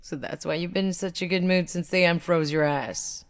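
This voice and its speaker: Low-voiced, hard-bitten female soldier. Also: steady tone, moderate pace, creaky, no echo, clean studio sound. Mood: dry, teasing. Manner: blunt, wry, swearing. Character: tough, sardonic, battle-hardened female soldier, game protagonist. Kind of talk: video game character voice lines.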